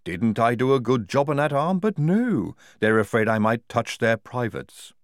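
A man's voice: medium pitch, big pitch swings, normal speed, no echo, studio quality, lightly acted. A man voicing a proud female Igor surgeon in a storybook, deferential and matter-of-fact. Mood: hurt.

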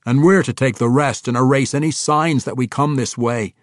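A gruff, battle-hungry dwarf king acted by a male audiobook narrator blusters in rustic dialect. The voice is raised well above plain narration, loud and growling, in a dry recording. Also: medium pitch, normal speed, dry recording, voice pushed up, close to an everyday voice. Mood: commanding.

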